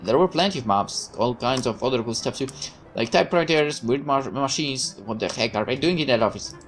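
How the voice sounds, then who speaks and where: Naive young soldier boy, low and hesitant, read by a woman. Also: lively intonation, fairly quick, faint music behind. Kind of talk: reading visual novel dialogue aloud.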